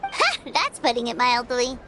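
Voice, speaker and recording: A woman voicing a tiny, childlike fairy companion in a video game, squeaky, blunt and excitable, given to exclamations. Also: moderate pace. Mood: teasing. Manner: speaks of self in third person, exclaims. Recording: loud game music behind.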